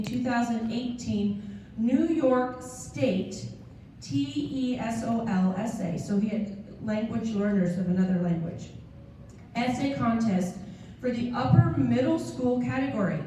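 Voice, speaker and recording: High-voiced woman leading a school assembly. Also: mellow, slight background noise. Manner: upbeat, cheering on students. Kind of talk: addressing a student assembly. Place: large school gym full of seated students. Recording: echoey gym from far off.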